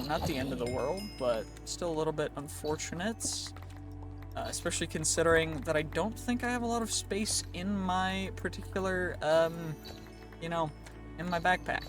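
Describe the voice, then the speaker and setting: A man with a sniffly, stuffy nose giving casual, chatty gameplay commentary, often reassuring himself and playfully pleading for loot. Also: fairly high pitch. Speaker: gaming youtuber. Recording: clear music bed behind. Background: game music.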